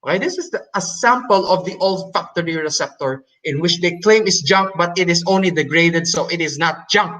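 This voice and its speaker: A man whose first language is Hokkien Chinese, giving a presentation in English with many fillers, restarts and pauses. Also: high-pitched, sharp tone. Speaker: debate presenter. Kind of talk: halting explanatory presentation.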